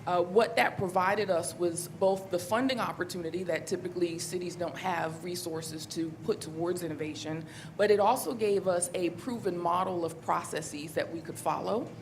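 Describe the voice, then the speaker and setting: A woman speaking as a city government official on a conference panel, in a measured, businesslike way with frequent ums. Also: on the low side, slight background noise.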